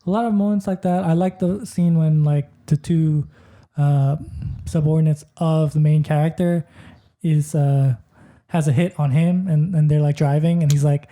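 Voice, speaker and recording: A man's voice: on the high side, fairly even intonation, normal speed, warm tone. A man co-hosting a podcast, reviewing a movie in casual chat, rambling with lots of fillers and restarts. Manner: rambling, heavy use of "like" and restarts. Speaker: podcast co-host.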